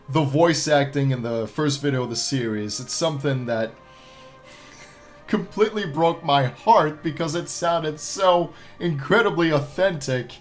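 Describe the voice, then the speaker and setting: A man hosting a ranking video with casual, unscripted review commentary, repeating himself for emphasis in a hesitant way. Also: on the high side. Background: background music.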